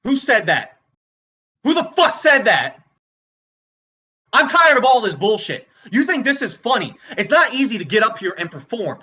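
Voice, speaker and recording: A man playing a volatile, failing stand-up comedian in a screenplay read, halting, stammering and exasperated. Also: high-pitched, lively intonation, pushed and bright, lo-fi sound, heavily acted. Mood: angry, confrontational. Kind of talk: dramatic screenplay table read, stand-up monologue.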